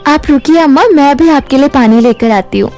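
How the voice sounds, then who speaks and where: An earnest, kind-hearted young girl from a cartoon fairy tale, played by a woman, speaks in a high, emotive voice. Faint music plays behind her. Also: fairly quick, mellow. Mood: concerned, helpful.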